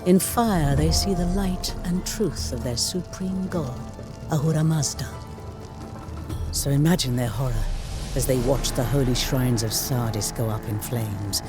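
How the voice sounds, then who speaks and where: A man's voice: mid-range voice, a little slow, lightly acted. A man playing a solemn ancient chronicler, narrating history for a video game in a grave, theatrical storytelling manner. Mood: grave, dramatic, then ominous. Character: male ancient chronicler recounting war with solemn relish. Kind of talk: dramatic scripted historical narration. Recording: studio voice-over under prominent music.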